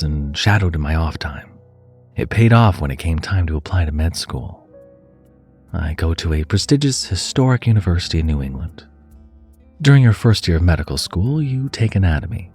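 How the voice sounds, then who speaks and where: A man narrating a first-person horror story in a measured, dramatic and expressive way, dropping to a hush at times. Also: deep voice. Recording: music under the voice. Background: background music.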